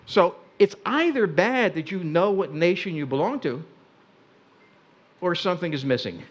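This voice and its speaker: An older Hungarian-born man giving a wry, deliberate talk on a stage in a large tent before an audience. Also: sits high, expressive. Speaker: speaker.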